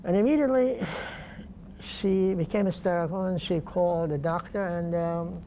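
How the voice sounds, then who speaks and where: An older man from Poland, speaking slowly and deliberately with pauses in an oral history interview. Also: high voice, mellow, slight background noise, rough recording. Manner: slow, deliberate, halting with pauses.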